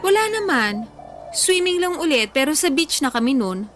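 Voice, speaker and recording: High-voiced, food-loving young woman. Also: big pitch swings, normal speed, fairly bright tone, faint music behind. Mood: cheerful. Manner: casual Taglish banter, emphatic. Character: young woman, food-loving, playfully defensive with her friend. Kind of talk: Tagalog radio drama, natural conversational acting.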